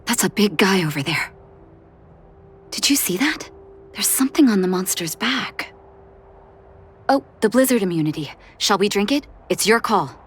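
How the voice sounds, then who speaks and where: A woman voicing a composed, determined doctor in a video game, speaking in a measured, deliberate way. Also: mid-range voice, lively intonation, slight background noise, close to an everyday voice. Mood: calm, alert. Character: composed, determined female doctor searching for her brother. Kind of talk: scripted video game voice acting.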